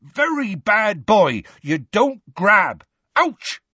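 A man putting on a loud gruff half-giant gamekeeper for a storybook reading, voice climbing high as he coaxes and scolds, lively and swooping. The sound is husky and a little dull, with a dry close feel. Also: unhurried, no room sound, heavily acted. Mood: scolding. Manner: shouting up, coaxing and scolding.

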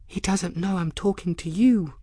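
A narrator voicing an odd, fey, flustered young apprentice girl in an audiobook, breathy and rambling to herself in the third person. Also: mid-range voice, normal speed, dark tone, dry recording, voice pushed up, natural voice. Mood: wistful. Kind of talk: audiobook character dialogue.

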